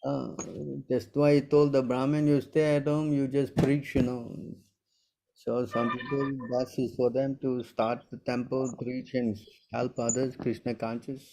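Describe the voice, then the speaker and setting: A man's voice: mid-range voice, level tone, unhurried, mellow, low-quality recording. A man in his sixties answering devotional questions in an informal online session, often checking whether listeners understand. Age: 60s. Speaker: spiritual teacher answering questions.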